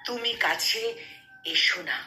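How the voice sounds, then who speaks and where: A woman gives a dramatic, emotive recitation of a love poem, pausing deliberately between short phrases. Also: a little high, expressive, bright, forceful tone, faint music behind. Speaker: poetry reciter. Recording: roomy, echoing sound.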